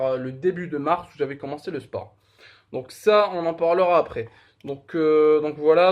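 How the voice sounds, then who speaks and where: A young man from Morocco talking casually in a vlog, hesitant, with many fillers and restarts, candid and self-deprecating. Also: on the high side. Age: young adult. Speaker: fitness vlogger.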